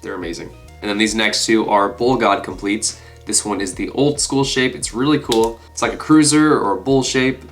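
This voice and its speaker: A man in his twenties talks casually to camera in a somewhat low voice, stuffed up from a cold. He sounds like a video creator showing off a collection, with guitar music playing behind him. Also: moderate pace. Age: 20s. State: congested with a cold. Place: small furnished room indoors.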